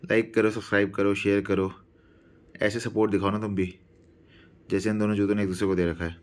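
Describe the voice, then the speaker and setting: A man's voice: lowish voice, level tone, fairly quick, a little mellow. A male travel vlogger chatting casually and playfully to his viewers, mixing English words into his talk.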